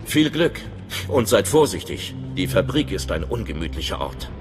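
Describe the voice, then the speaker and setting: A man's voice: sits low, sing-song, moderate pace, roomy sound. A man playing a nervous, friendly young undercover informant in a video game, chatty and informal with a touch of anxiety. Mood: anxious, confiding. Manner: chatty, informal, slightly anxious. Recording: clear music behind the voice.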